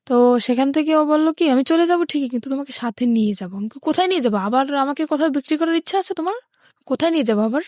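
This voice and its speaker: A woman's voice: sits high, darkish tone, no room sound, clean studio sound. A young woman with a Bangladeshi accent, telling her life story as an interview guest.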